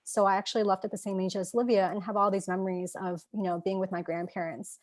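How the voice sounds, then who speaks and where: A woman's voice: mid-range voice, level tone, fast talker, no room sound. A woman illustrator talking casually about her creative process, with plenty of "um" and "you know". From Taiwan. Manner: chatty, lots of fillers. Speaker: picture book illustrator. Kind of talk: casual chat. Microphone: video call.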